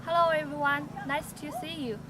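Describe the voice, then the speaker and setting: A young woman, a native Chinese speaker, giving slow, careful answers with many fillers. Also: high voice, fairly even intonation. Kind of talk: prepared answers for a language project. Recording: street noise behind.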